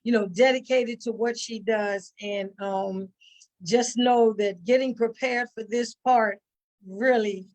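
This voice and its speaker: A mature woman with a fairly high voice chats as a guest in an interview. She tells stories informally, starting haltingly and dropping in bits of quoted speech. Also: fairly even intonation, a little mellow, poor audio. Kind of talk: casual chat, storytelling.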